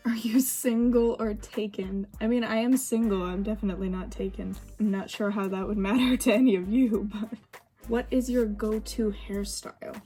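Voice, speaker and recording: Young swedish girl vlogging while getting ready, rambly, mellow tone, faint music behind. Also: medium pitch, steady tone, moderate pace. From Sweden. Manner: rambling, lots of fillers and laughs.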